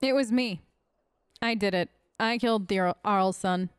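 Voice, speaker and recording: A woman's voice: mid-range voice, normal speed, clear, resonant tone, no echo, clean studio sound. A woman gaming host doing casual let's play commentary, talking in character. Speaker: gaming host, role-player.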